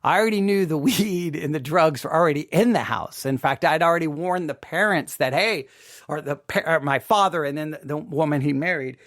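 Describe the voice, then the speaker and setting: Man, fairly high voice, dry close sound, informal podcast commentary, emphatic, halting, repetitive. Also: fairly bright tone, no room sound. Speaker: podcast host commenting on a drama.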